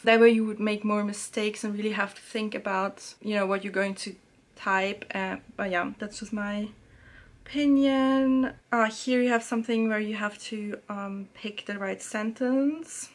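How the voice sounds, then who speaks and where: A woman's voice: mid-range voice, measured pace. A young woman talking casually and chattily from a small room at home, reviewing a language app and filling her sentences with little fillers. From Serbia. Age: young adult. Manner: chatty, relaxed, many fillers. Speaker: language learning YouTuber.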